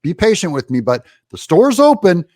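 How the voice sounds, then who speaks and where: A man's voice: high voice, big pitch swings, normal speed, a little mellow, dry recording, studio quality. A man hosting political commentary, opinionated and sarcastic, reacting emphatically to clips. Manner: sarcastic, emphatic, mocking voices.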